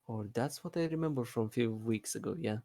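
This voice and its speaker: A man who is not a native English speaker, chatting casually in a halting way with repeated words and many fillers. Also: medium pitch, warm tone, no room sound. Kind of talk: casual hesitant chat.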